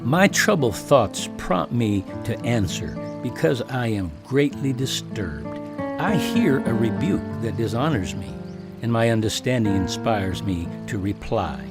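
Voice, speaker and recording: A severe biblical accuser, indignant and declamatory. His mid-pitched voice delivers grim judgment in the manner of a scripture drama, with music clearly playing behind him. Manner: declamatory, forceful rhetoric. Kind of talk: dramatized audio Bible acting.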